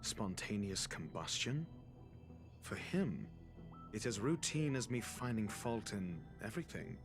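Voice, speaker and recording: Low voiced calculating detective type from a game, imperious n sardonic, very measured, loud music behind. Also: male voice, sing-song. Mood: grave, ominous. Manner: measured, formal, theatrical. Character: cool, calculating male investigator with a sardonic, imperious manner. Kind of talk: dramatic video game voice acting.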